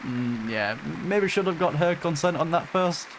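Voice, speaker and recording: A game reaction streamer giving casual commentary in a relaxed, thoughtful, musing way. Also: medium pitch, a little slow, background noise.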